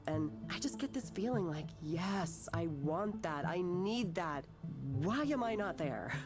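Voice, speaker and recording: An actress voicing an anguished, bitter, self-doubting woman artist in a naturalistic visual novel, speaking haltingly and emotionally. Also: on the low side, expressive, over music. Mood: anxious, wistful. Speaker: counselling patient.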